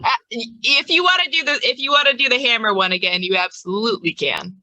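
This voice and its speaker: A woman's voice: on the high side, expressive, fast, sharp tone, dry recording, rough recording. A woman running a tabletop game as game master, chatting casually with her players.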